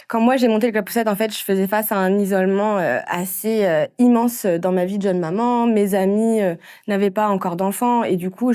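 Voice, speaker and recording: A woman in her thirties from France, telling her story in a casual interview, with frequent pauses and fillers. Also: mid-range voice, a little mellow, no room sound, clean studio sound. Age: 30s. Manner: hesitant, many fillers and restarts. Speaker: interviewee, entrepreneur.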